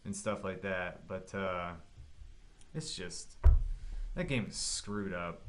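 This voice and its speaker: A man's voice: deep voice, takes it slowly, a bit bright, slight background noise. A man co-hosting a gaming podcast, chatting casually with blunt opinions and plenty of swearing. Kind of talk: casual chat about video games.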